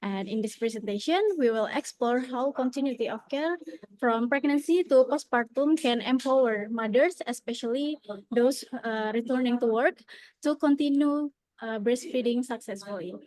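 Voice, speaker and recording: Indonesian woman presenting, slightly high voice. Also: darkish tone, dry recording, rough recording. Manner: earnest, with frequent "okay" and "uh". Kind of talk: conference presentation.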